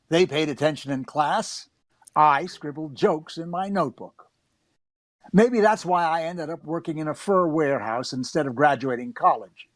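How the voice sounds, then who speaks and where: A man's voice: fairly high pitch, dry recording. A man playing an eager, wryly self-mocking young comedy writer narrating his own story in a radio play. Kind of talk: first-person radio drama narration.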